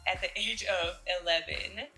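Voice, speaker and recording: Young female model for interview answers; bright, casual delivery over faint music. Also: lowish voice, normal speed, low-quality recording. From Jamaica. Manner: relaxed, with fillers and soft laughs. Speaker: fashion model.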